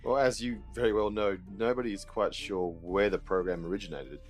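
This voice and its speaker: Actor as a low-voiced, inquisitive male interviewer. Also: fairly even intonation, brisk, soft background music, natural voice. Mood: curious, probing. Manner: probing, questioning. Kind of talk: audio drama interview.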